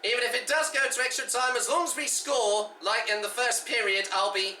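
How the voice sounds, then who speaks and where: A young man watching a football match at home with his dad, reacting live and shouting at big moments. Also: very high voice, fairly even intonation, a little fast. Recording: echoey room, distant mic, faint background noise.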